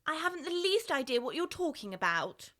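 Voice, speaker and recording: A woman's voice: high-pitched, sing-song, fairly quick, pushed and bright, heavily acted. An actress playing a curious, polite and bewildered little girl in an audiobook, earnest and proper as she wonders aloud. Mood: protesting. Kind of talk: full-cast audiobook acting.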